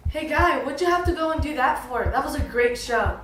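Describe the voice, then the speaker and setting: Woman playing high-voiced, shallow, irritable housewife. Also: lively intonation, fairly quick, a bit bright. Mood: irritated. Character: shallow, irritable housewife devoted to television shows. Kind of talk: student literary dramatization. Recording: echoey room, faint music.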